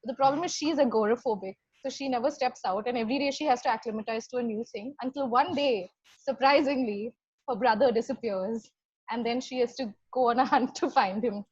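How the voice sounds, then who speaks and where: High, husky woman, casual chat. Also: brisk, darkish tone, dry recording, muffled recording. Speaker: guest, fiction podcast creator.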